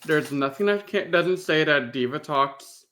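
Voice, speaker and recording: A man's voice: fairly high pitch, a little slow, bright, forceful tone. A man co-hosting a podcast, chatting casually as a fan. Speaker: podcast co-host.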